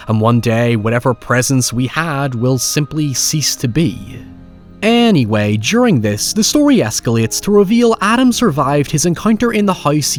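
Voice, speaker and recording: Guy doing scripted video essay narration, voice goes up and down a lot, articulate, bit wry, faint music behind. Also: medium pitch. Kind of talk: scripted narration, film and horror retrospective commentary.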